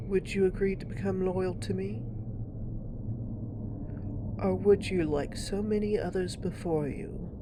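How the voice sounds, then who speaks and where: A woman playing a coolly curious, menacing dark immortal in an audio roleplay, with deliberate, probing delivery. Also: medium pitch, steady tone, natural voice. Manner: deliberate, probing, veiled threat. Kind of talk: slow audio roleplay acting. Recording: clear vehicle-like ambient noise. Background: vehicle ambience.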